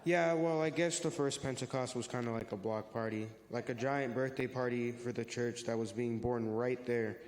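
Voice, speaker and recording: A man's voice: mid-range voice, fairly even intonation, moderate pace, few pauses, darkish tone, lightly acted. A man playing an earnest, friendly youth who explains a church story to friends in a reader's theater. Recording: echoey hall with long reverb.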